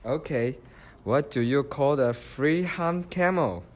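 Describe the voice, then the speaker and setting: Guy doing sly joker fox for a radio play, mid pitch, talks kinda slow. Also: lightly acted. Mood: sly, teasing. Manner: teasing, leisurely. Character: sly, joking male fox. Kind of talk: amateur radio play acting.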